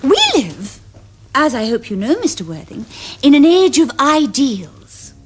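A poised young Victorian society woman in a period comedy. Her upper-class English voice is high and swoops expressively, with precise, affected romantic delivery. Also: big pitch swings, measured pace, faint music behind. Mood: romantic ardour. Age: young adult. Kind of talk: period stage comedy acting.

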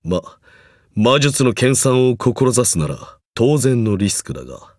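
A man's voice: medium pitch, very animated, slow, a little mellow, no room sound, studio quality. An actor playing a stern, pompous magecraft professor in a mobile game, speaking formally and condescendingly while grudgingly encouraging his student. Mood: stern, dry. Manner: formal, condescending lecturer's delivery. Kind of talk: scripted game character lines.

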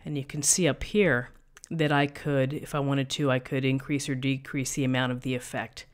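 Middle-aged woman, thin voice, calm step-by-step explaining, desk mic, tutorial host. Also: rumbling low, moderate pace. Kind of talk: screen-recorded software tutorial. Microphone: USB desk microphone.

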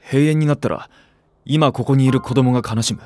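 An actor playing a quiet, serious young male nursery teacher with a subdued, terse manner in an anime drama. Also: medium pitch, a little fast, lightly acted.

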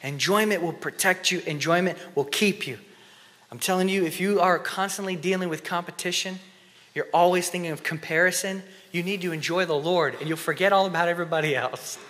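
A man's voice: high voice, fairly quick. Impassioned male preacher in a reverberant hall. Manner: impassioned, shifts between loud emphasis and hushed whispered prayer. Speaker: conference speaker. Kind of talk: sermon. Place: church stage before an audience. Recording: long reverb of a church hall.

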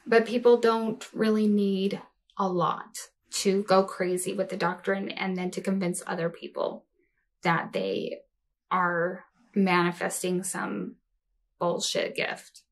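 A woman's voice: mid-range voice, little pitch movement, takes it slowly. A woman in her forties giving casual, sarcastic reaction commentary, blunt and sweary, with a mocking edge. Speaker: host of a reaction commentary show. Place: small home office or craft room.

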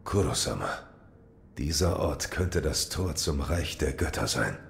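An actor playing a stoic, loyal shinobi warrior in an audio drama, terse and solemn. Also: male voice, extremely low pitch, expressive, a little slow, vocal fry, full character voice. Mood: earnest, reserved. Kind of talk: German audio drama acting.

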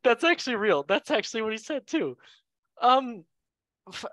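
A man hosts a casual football chat show with a bright, forward voice. He is a non-native English speaker who talks in a jokey, excitable manner. The sound is dry, with no room on it. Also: very high-pitched, normal speed, no room sound. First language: not English. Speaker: show host.

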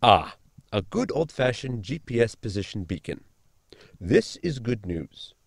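A man playing a pedantic, eccentric science officer, formal and polite, with a creaky voice, in a sci-fi comedy audio drama. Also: mid-range voice, big pitch swings, a little slow, very noisy. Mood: thoughtful.

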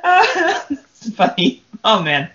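A woman's voice: on the high side, huge pitch swings, extremely slow, fairly bright tone. A woman on a podcast panel, speaking in casual, informal chat. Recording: dull, noisy lo-fi podcast audio.

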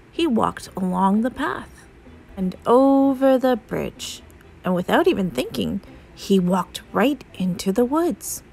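A woman narrating a children's storybook aloud in a measured, expressive way. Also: fairly high pitch, vocal fry. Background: soft music.

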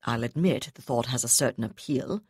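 A male navy commodore , read by a woman, speaks with icy, clipped precision and open disdain. His tone stays calm and controlled. The voice sits low and the recording is dry. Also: moderate pace, slightly rough, no room sound, full character voice.

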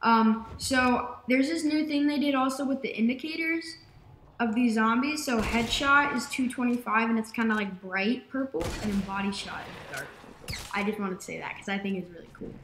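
A young woman talks through her gameplay in a bright, slightly raspy voice, piling on fillers as she rambles. The room around her mic is noticeably echoey, with a faint hiss underneath. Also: a little high, normal speed. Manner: rambling, filler-heavy, excitable. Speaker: gaming YouTuber. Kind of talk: casual gameplay commentary.

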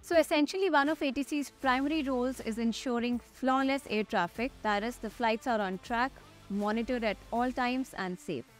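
Higher-pitched young Indian woman presenting. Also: moderate pace. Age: young adult. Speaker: host, airline pilot. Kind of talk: scripted interview hosting and presenting. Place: large glass-walled airport tower room. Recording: music under the voice. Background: background music.

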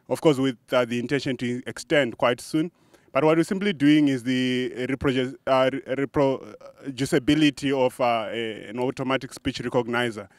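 A young man from South Africa, a researcher answering an outdoor interview into a handheld mic, earnest and halting with uhs. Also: mid-range voice, no echo, studio quality. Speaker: researcher guest. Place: sunny outdoor campus.

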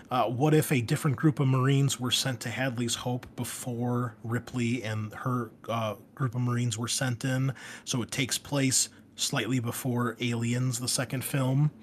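A man's voice: mid-range voice, slight background noise. A man hosting a gaming podcast, making casual, enthusiastic announcements in an informal way. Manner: informal, enthusiastic, mild swearing. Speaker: podcast host and game master.

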